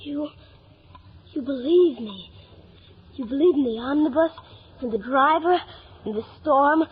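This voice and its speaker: Earnest high-voiced schoolboy, old radio. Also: female voice, lively intonation, unhurried. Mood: fervent pleading. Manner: breathless, earnest, wide-eyed. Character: earnest imaginative schoolboy, full of wonder. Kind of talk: 1950s radio drama acting. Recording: dull, noisy vintage radio recording.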